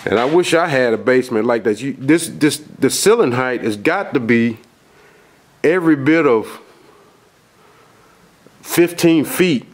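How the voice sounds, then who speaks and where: A man with a cold and a nasal voice, filming a folksy, rambling walkthrough of a dark house basement on a handheld camera, with lots of fillers and restarts. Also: medium pitch, expressive, measured pace, long pauses. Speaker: outdoors video host. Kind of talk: casual vlog walkthrough.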